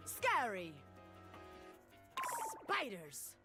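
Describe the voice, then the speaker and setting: A woman's voice: medium pitch, huge pitch swings, drawn-out words, creaky, over loud music, heavily acted. A woman playing a cocky, streetwise small-time gangster pin in a video game, talking in brash wiseguy slang. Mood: cocky, resigned. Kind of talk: video game character acting.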